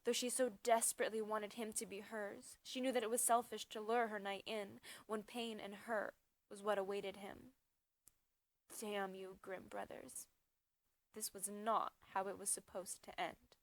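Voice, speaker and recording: A woman's voice: mid-range voice, level tone, no room sound. Woman performing dramatic slam poetry. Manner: dramatic, emphatic delivery in short measured phrases. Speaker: slam poet.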